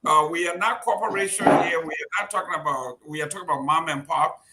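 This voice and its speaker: A man's voice: fairly high pitch, fast, very noisy. A man hosting an interview, asking questions hesitantly with many filler sounds. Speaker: interview host. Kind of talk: conversational interview.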